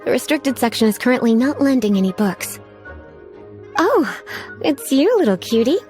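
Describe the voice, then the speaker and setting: A woman's voice: a little high, sing-song, normal speed, a little mellow, soft background music. A woman playing a coy, languid adult female librarian in an anime game, teasing and sweetly menacing as she drawls.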